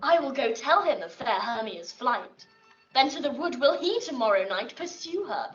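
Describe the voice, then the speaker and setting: A lovesick young Athenian woman speaking classical verse, high-pitched and theatrical. Her bitter, emotive soliloquy comes through distant and roomy, with music clearly behind it. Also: very animated, moderate pace, muffled recording. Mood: scheming, pained. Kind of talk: classical audio drama acting, Shakespearean verse.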